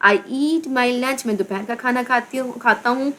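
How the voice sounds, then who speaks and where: A woman teacher from Pakistan with a Pakistani accent, slowly reading a children's English textbook aloud and explaining each word. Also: a little high, pushed and bright. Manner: addresses pupils as "beta". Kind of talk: slow textbook lesson with translation.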